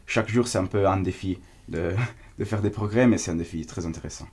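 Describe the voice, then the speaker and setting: A man's voice: sits low, fairly quick, a little mellow, slightly rough. A young man with an Italian accent, a student giving an earnest testimonial in a language he is still learning. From Italy. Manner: earnest, searching for words in a second language. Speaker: exchange student. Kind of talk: testimonial interview.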